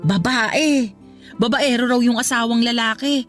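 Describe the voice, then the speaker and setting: A woman's voice: on the high side, unhurried, a bit bright, soft background music. A woman playing a humble, caring middle-aged mother in a radio drama, talking in a frank, gossipy way. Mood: teasing, gossipy. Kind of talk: Tagalog radio drama acting.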